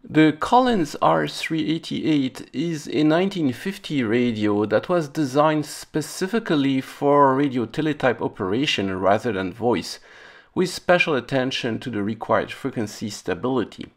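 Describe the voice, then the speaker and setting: Man with a slightly gravelly, wry delivery. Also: medium pitch, lively intonation, unhurried. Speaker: host of electronics restoration video channel. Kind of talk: casual narrated demonstration.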